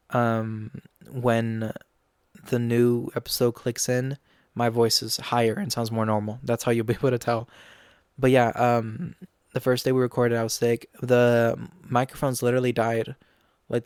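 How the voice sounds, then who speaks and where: A man's voice: medium pitch, darkish tone, clear, resonant tone, dry recording, studio quality. A young man hosting his own podcast, looking back on past episodes in a chatty way with lots of ums. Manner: chatty, frequent ums and you-knows. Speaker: podcast host. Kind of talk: casual reflective chat.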